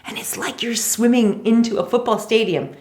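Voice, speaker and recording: A woman in her forties from Canada, a physiotherapist guest telling stories in a chatty, animated way in a casual interview. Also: on the high side, moderate pace. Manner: chatty, animated storytelling with laughs.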